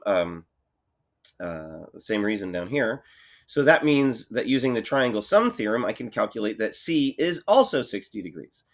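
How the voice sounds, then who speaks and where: A somewhat low-voiced man with a cold, his voice thick and congested, works through problems for his class. He is relaxed and thinks aloud, with frequent fillers and restarts. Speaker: math teacher. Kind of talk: recorded lesson walkthrough.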